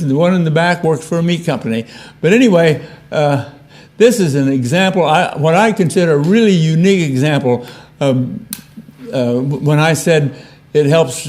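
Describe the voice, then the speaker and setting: Older man, fairly high voice, halting folksy speaker, lecture room talk. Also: darkish tone. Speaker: professor emeritus. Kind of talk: seminar talk.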